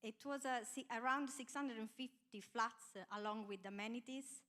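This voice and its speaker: A woman in her thirties with an Italian accent introducing herself on a panel, pausing often with filler sounds. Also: fairly high pitch, a bit bright. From Italy. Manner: hesitant, many filler sounds. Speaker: panelist, architect.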